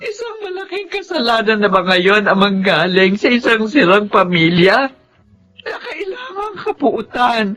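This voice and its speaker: A woman's voice: fairly high pitch, very animated, measured pace, over music. A woman playing a sympathetic older neighborhood woman in a Filipino drama, with an emotional, dramatic delivery. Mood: pained, indignant. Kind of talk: Filipino radio drama acting. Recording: dull lo-fi audio.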